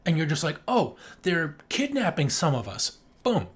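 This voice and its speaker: Higher-pitched man in casual, sarcastic movie chat. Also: huge pitch swings, moderate pace, a bit bright, breathy edge. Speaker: podcast co-host.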